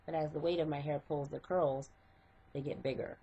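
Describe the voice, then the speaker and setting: A woman's voice: low-pitched, steady tone, normal speed, dark tone, slight background noise. A middle-aged woman and hairstylist, congested from a cold, talking step by step through a casual hair tutorial. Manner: step-by-step, conversational, with fillers. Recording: dull lo-fi home recording.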